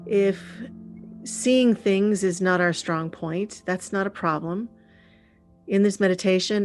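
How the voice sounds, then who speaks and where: A woman leading a guided meditation in a calm, soothing, unhurried way with frequent pauses. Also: fairly low pitch. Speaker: meditation leader. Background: gentle instrumental music.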